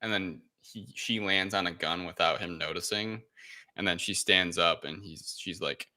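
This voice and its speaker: A man's voice: low-pitched, normal speed, bright, forceful tone, no echo. A man co-hosting a podcast, casually recapping a film in a hesitant, rambling way. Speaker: podcast co-host.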